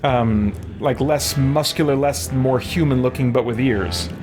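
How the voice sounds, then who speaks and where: A man's voice: medium pitch, unhurried, over music. A man giving casual gameplay commentary, thinking aloud in a rambling, musing way. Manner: rambling, musing, trails off into side thoughts. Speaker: gaming streamer, let's player.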